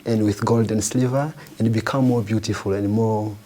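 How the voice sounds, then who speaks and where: A young man with a lowish voice, a French native speaker, answers an interviewer earnestly. He talks in halting bursts, restarting and searching for words. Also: steady tone, dark tone. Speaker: refugee organization founder.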